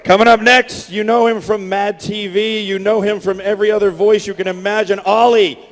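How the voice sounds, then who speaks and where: High-voiced male host in an echoey hall. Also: flat delivery, moderate pace. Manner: hyping the crowd, projecting loudly. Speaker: panel host and creator. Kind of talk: live convention stage announcing. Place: large convention hall stage. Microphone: stage microphone through PA. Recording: echoey convention hall PA, long reverb.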